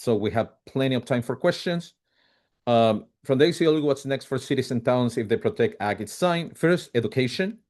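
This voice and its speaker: Guy on a video call, lots of ums and restarts, mid pitch. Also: a little mellow. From Chile. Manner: halting, many fillers and restarts, quick bursts. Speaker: advocacy presenter. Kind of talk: policy presentation on a video call.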